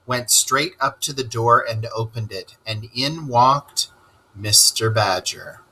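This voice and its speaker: A man reading a story aloud to children in an expressive narrating style. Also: mid-range voice, low-quality recording.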